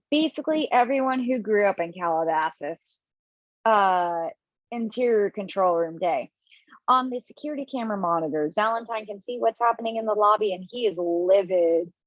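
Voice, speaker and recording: A woman narrating stage directions for an amateur table read over a video call, casual and chatty with little asides. Her voice is mid-pitched, and the sound is dry and somewhat dull, like a lo-fi call. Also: no room sound. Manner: casual, chatty reading with asides and self-corrections.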